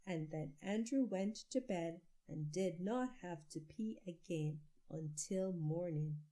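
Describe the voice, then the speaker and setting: Slow-paced, mellow woman reading a storybook. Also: fairly low pitch. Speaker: children's story reader. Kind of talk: read-aloud storybook narration.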